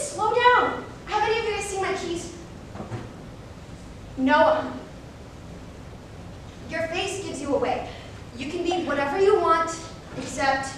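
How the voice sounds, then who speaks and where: A frazzled, exasperated mother , played by a woman on stage, scolds her children in a very high voice. She speaks unhurriedly and theatrically, heard from far off in a reverberant, noisy hall. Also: exaggerated voice. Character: frazzled single-minded mother hurrying two kids out to school. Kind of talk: live reader's theater performance. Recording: echoey hall from far off, background noise.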